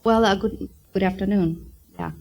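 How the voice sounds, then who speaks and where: A middle-aged woman with a Romanian accent giving a thoughtful public lecture, often pausing with ums. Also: mid-range voice, fairly even intonation, gravelly, a little mellow. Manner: thoughtful, hesitant with many ums. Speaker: psychiatrist giving a talk.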